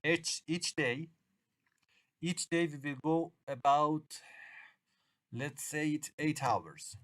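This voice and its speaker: A middle-aged man from Iran with a Persian accent, a native Persian speaker, lecturing students at an English exam workshop. He talks haltingly, restarting and repeating phrases, with uneven grammar. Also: a little high, fairly bright tone.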